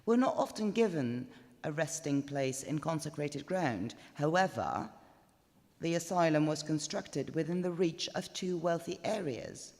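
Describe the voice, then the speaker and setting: A woman's voice: sits low, animated, measured pace, a little mellow. A woman voicing a pedantic, skinny moustached man who lectures and digresses pompously, in a live story reading. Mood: lecturing, knowing. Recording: live in echoey stone chapel, long reverb.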